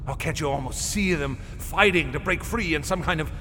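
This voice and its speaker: An actor playing a feverish, morbidly knowing, unhinged manor heir in an intense, macabre radio drama performance. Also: male voice, fairly high pitch, fairly quick, pushed and bright, slight background noise. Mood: feverish horror. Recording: cavernous reverb, faint music.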